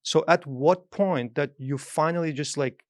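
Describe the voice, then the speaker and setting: A man's voice: on the high side, unhurried, no echo, studio quality. A man hosting a podcast, asking questions in a curious way with non-native grammar. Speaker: podcast host. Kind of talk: casual interview talk.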